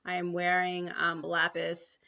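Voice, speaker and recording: A woman's voice: on the low side, monotone, measured pace, vocal fry, pushed and bright. A woman from New City, hosting a spiritual livestream and talking earnestly with many ums and restarts. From New York City. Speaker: livestream host. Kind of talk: spiritual livestream talk and guided prayer. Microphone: computer mic on a livestream. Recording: dull lo-fi livestream audio.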